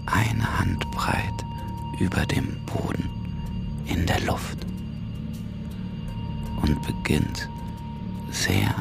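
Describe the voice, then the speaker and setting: An actor playing a mysterious, hushed narrator in a radio drama, speaking haltingly and deliberately. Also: male voice, low voice, vocal fry, full character voice. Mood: hushed, ominous. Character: mysterious hushed male narrator of eerie places. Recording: prominent music under the voice. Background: music.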